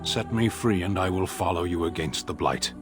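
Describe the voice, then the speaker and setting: An actor playing a solemn, formal warrior who seeks atonement, speaking tersely in a video game. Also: male voice, deep voice, moderate pace, music in the background, close to an everyday voice. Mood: grave. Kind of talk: video game cutscene dialogue.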